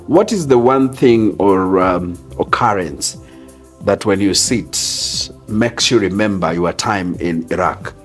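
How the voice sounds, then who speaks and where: A man with a Kenyan accent hosting an interview, asking slow, hesitant questions full of fillers. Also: sits low, lively intonation, soft background music. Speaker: interview host.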